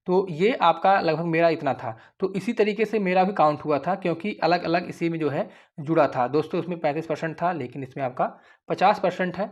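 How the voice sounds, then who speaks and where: A young man giving an informal, repetitive explainer addressed straight to his viewers. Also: on the high side. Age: young adult. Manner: repetitive, often addressing listeners as friends. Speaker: YouTube host giving exam updates.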